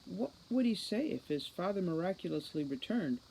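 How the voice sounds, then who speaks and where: A woman reading an audiobook novel aloud in an expressive storytelling style. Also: on the low side, normal speed, vocal fry, poor audio. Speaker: audiobook reader. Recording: faint background noise.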